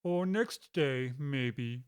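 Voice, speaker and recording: An actor playing a stubborn, unbothered frog footman who speaks slowly and pedantically. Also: mid-range voice, clear, resonant tone. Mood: stolid. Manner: slow, flat, unbothered, pedantic. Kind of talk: audiobook drama acting.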